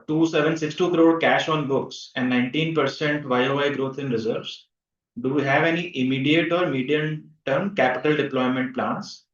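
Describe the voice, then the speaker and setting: A man moderating an earnings call, reading out a submitted question. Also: fairly high pitch. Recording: distant, echoey, muffled call audio.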